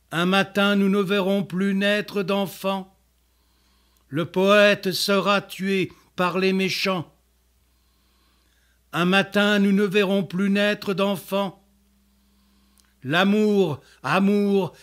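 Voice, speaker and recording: A man reciting his own poem in a solemn, declamatory way with measured phrasing. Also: high-pitched, fairly bright tone.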